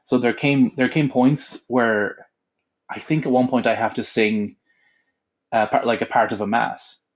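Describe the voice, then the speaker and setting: A man's voice: medium pitch, fairly even intonation, a little slow, dull audio. A young adult man, an audiobook voice actor, chatting casually in an interview with lots of filler words. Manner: rambling, many filler "like"s.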